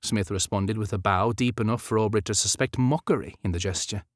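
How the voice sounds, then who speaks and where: A man narrates a historical novel in a lowish voice. His storytelling is measured and dramatic. The sound is dry and studio-close. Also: no room sound. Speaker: audiobook narrator.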